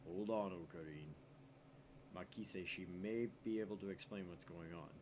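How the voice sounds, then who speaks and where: Man doing a soft, low, easygoing young sidekick. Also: moderate pace, noisy background, lightly acted. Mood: hesitant. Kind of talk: visual novel character reading.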